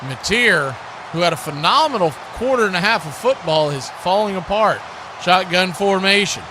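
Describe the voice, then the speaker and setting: A man's voice: high voice, animated, moderate pace. A man doing live play-by-play commentary of a football game as the announcer. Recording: clearly noisy live stream audio.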